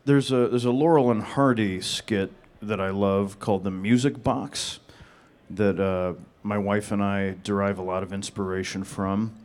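A man answering audience questions at a panel in a flat, deadpan monotone. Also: lowish voice, a little slow. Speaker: panel guest.

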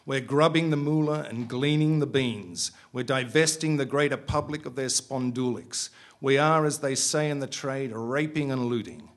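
A man's voice: mid-range voice, lively intonation, moderate pace, gravelly, fairly bright tone, breathy edge, full character voice. Male storybook rogue, crude and boozy, cocky swagger, read by a man. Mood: boastful. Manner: swaggering, profane, gleefully boastful. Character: crude, boozy, foul-mouthed male salesman.

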